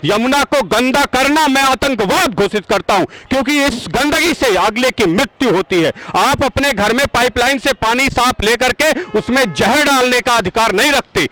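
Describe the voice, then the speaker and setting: Forceful high-voiced campaigner man. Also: normal speed, hardly any pauses, rough recording. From Azamgarh, India. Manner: forceful, rhetorical, indignant questioning. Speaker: yajna organizer, campaigner. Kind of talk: street interview. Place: outdoors at a busy road junction.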